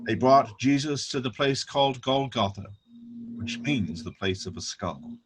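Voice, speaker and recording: A man narrating a dramatic scripture reading with solemn, measured, deliberate phrasing. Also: mid-range voice, lively intonation, background noise.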